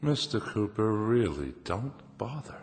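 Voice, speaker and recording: An actor playing a weary, defiant convicted murderer in a radio drama, brooding and deliberate. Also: male voice, fairly low pitch, very animated. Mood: smug defiance. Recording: music under the voice.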